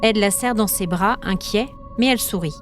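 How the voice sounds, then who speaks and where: A woman narrates an atmospheric scripted tale for a podcast. Her voice is medium in pitch and her delivery is measured, literary and suspenseful. Faint music plays underneath.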